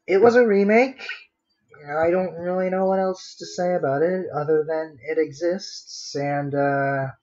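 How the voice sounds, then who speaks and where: A man's voice: fairly high pitch, measured pace, darkish tone, muffled recording. A man chatting casually on a drawing livestream, rambling to himself in a playfully dramatic way. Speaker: streamer, digital artist.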